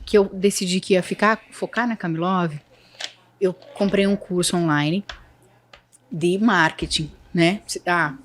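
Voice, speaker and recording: A woman's voice: fairly low pitch, steady tone, unhurried. A woman with a Santa Catarina accent speaks as an interview guest. She talks earnestly, circling back and restarting her sentences as she goes. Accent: Santa Catarina Brazilian Portuguese. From Santa Catarina, Brazil. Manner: earnest, with many fillers and restarts. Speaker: guest entrepreneur. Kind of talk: casual interview talk.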